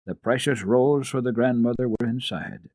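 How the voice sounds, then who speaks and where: A man giving an expressive, careful dramatic reading of classic children's literature as a radio storyteller. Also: medium pitch, normal speed, no echo.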